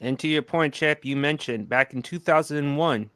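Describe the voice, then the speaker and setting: A man hosting a sports podcast panel, speaking informatively in a measured, orderly way. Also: a little high, fairly bright tone, clear, resonant tone, no room sound, studio quality.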